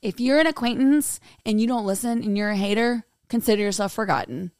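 A hoarse woman with a raspy voice, co-hosting a podcast in casual, joking chat. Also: medium pitch, animated, fairly quick, a little mellow, no room sound, clean studio sound. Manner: joking, drawling, breaks into laughter. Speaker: podcast co-host. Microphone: studio microphone.

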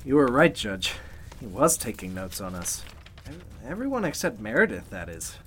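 A man's voice: mid-range voice, very animated, normal speed, fairly bright tone. Congressman for vintage-style radio thriller, male actor: arrogant yet capable, uneasy, swinging intonation, some noise behind. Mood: guarded. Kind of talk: golden age radio drama acting.

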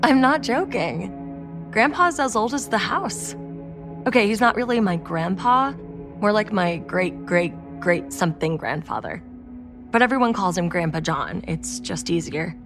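A woman's voice: mid-range voice, expressive, measured pace, over music. A woman playing an earnest, enthusiastic young girlfriend in an audio story, sincere and matter-of-fact. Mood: amused, earnest.

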